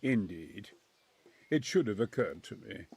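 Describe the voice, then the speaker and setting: An actor playing a nervous, middle-aged military engineer and former crusader in a video game, speaking earnestly in formal, old-fashioned phrasing. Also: male voice, on the low side, lively intonation, unhurried, vocal fry, darkish tone, breathy edge, heavily acted. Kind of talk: dramatic video game acting.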